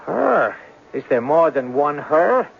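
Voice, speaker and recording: An actor playing a lovelorn, excitable young Irish man with an Irish accent in a radio drama. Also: on the high side, takes it slowly, vocal fry, heavily acted. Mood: mournful. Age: young adult. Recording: dull, noisy old radio broadcast.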